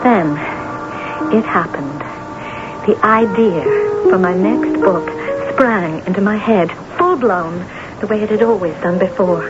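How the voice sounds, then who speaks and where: An actress playing a self-absorbed, temperamental, envious novelist in a theatrical, gushing old-time radio drama style. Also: female voice, mid-range voice, measured pace, loud music behind the voice, lightly acted. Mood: excited, dramatic. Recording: dull, noisy old radio broadcast.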